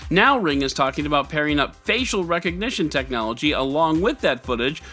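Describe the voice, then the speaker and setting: A man's voice: on the high side, expressive, a little fast, bright, forceful tone, faint music behind. A man hosting a scripted tech news podcast in a conversational, sarcastic way with wry asides. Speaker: podcast host.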